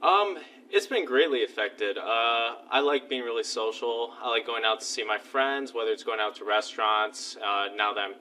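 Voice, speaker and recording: Bright-voiced young man, distant mic. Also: medium pitch, moderate pace, hardly any pauses. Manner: chatty, many uh fillers. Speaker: student interviewee. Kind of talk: casual interview answers. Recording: distant mic, faint noise.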